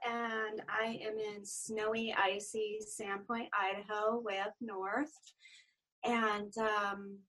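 A woman's voice: mid-range voice, fairly bright tone, poor audio. A woman giving an informal, appreciative personal update on a group call. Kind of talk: casual personal check-in.

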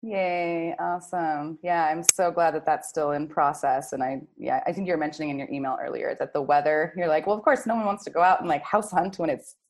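A woman's voice: low voice, steady tone, a little fast, few pauses. A woman hosting a group call in casual chat, affirming and effusive with informal wording. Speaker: facilitator and host of a group call.

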